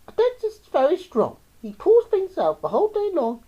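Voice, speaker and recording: A woman voicing a brisk, level-headed and outspoken mother in a theatrical verse story. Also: extremely high pitch, huge pitch swings, mellow, highs cut off, pitched above the performer's own voice, full character voice. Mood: reassuring.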